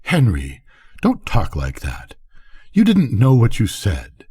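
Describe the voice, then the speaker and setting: An older man voicing a tense, husky ranch wife. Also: on the low side, wildly swooping pitch, slow, dark tone, heavily acted. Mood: sharp.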